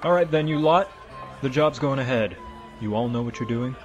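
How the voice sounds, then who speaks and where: A man playing a calm hired leader of a fantasy crew, speaking in a measured, serious manner in an audio drama. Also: mid-range voice, soft background music, close to an everyday voice. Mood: brisk.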